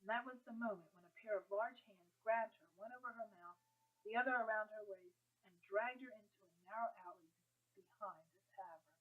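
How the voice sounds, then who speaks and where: A woman's voice: on the high side, a little fast, narrow, tinny audio. A woman reading a historical novel aloud as scripted audiobook narration.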